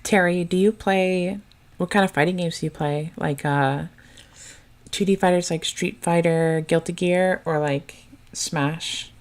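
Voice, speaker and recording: A woman chatting casually as a commentator on a card game stream, soft-spoken and quick to laugh. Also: fairly low pitch, steady tone.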